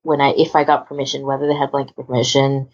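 A woman with a low voice and plenty of fry hosts an informal chat. She rambles along in a self-deprecating way. The recording is a bit muffled and lo-fi. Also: flat delivery, quick pace, a little mellow. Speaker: podcast host. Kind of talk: casual panel chat.

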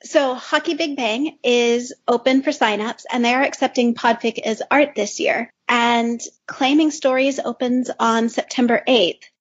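A woman's voice: on the high side, steady tone, measured pace, hardly any pauses, slightly rough, dull audio. A woman co-hosting a podcast, chatting casually. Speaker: podcast host.

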